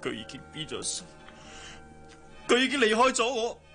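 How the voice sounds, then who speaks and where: A man with a Hong Kong Cantonese accent acting a heartbroken, possessive, hard-drinking gentleman in a radio drama, impassioned and volatile. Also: extremely high pitch, very animated, normal speed, soft background music, exaggerated voice. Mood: pleading. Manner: impassioned, swinging between pleading and outbursts.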